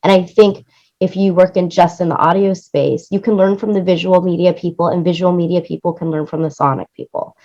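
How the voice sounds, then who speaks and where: Casting: female interview guest, lower register, conversational and demonstrative, slightly dull low-fidelity sound. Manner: animated explaining, acts out quoted lines, seeks agreement with "right?". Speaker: interview guest, music supervisor and audio producer.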